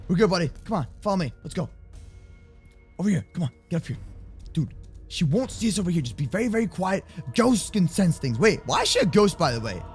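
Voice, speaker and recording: A young adult man improvising a children's block-game roleplay with excitable, exaggerated, slangy banter. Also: high-pitched, fairly quick, soft background music. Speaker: host of kids' gaming roleplay channel.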